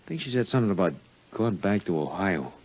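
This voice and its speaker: A muffled vintage radio recording features a young American trumpeter. His voice is on the low side, and his talk is slangy, curt and offhand, with a weary, cagey edge. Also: very animated, fast. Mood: cagey, dismissive. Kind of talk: 1950s radio acting.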